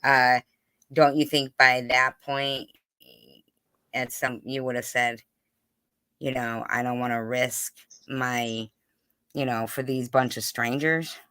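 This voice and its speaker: A livestream host in casual interview chat, talking insistently in halting bursts and circling back to the point. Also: mid-range voice, little pitch movement, sharp tone, clear, resonant tone, no echo.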